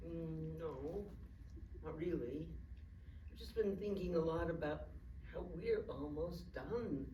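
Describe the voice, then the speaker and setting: An actress playing a frail, forgetful old wife in a staged play reading, anxious and tender, with halting speech. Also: female voice, deep voice, mellow. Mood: wistful. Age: older. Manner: hesitant, halting, stammering. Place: live staged reading in a room. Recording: echoey room, distant mic, faint noise.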